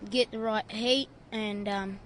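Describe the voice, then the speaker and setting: A young speaker answers slowly and hesitantly, in a flat, quiet voice set slightly high. Faint outdoor ambience surrounds it. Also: female voice, a little hiss or hum. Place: outdoor food event.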